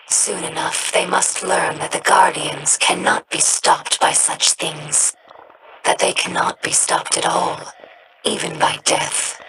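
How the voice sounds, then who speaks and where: A gravelly, earnest young alien girl. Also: fairly low pitch, background noise. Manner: calm, measured, thoughtful. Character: young female four-armed alien scavenger, earnest and reflective. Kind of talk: video game voice lines.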